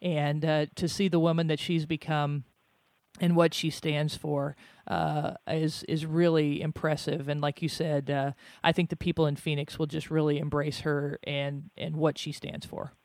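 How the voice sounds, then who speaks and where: A woman hosting a sports radio show, talking in a measured way with frequent fillers. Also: sits low, little pitch movement, darkish tone, dry recording. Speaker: radio host, basketball broadcaster. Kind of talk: casual radio chat.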